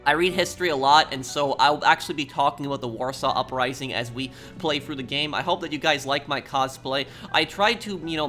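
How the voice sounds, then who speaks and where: Bright-voiced man introducing a game playthrough. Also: a little high. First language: English. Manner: earnest, explanatory. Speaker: gaming YouTuber host.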